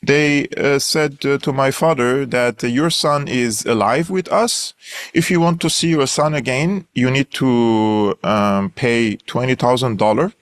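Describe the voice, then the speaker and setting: Syrian armenian guy, young, earnest, lots of uhs and restarts, mid pitch. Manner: earnest, many fillers and restarts. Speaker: guest analyst. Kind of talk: interview storytelling.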